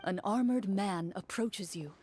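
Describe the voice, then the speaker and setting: Fantasy game master played by a woman: mid-pitched, dramatic storyteller, measured and suspenseful, video game delivery. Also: heavy background noise, natural voice. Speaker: narrator and game master character.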